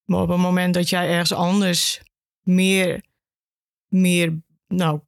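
Female educator for podcast discussion: fairly flat intonation, plain-spoken, close and dry. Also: fairly low pitch, takes it slowly, creaky, dry recording. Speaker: history educator guest. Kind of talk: explanatory podcast conversation.

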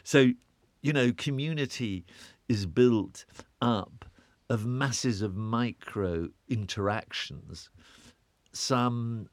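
A man's voice: medium pitch, slow, no room sound. A British man giving an interview guest's reflective answers, often restarting and repeating words as he searches for a thought.

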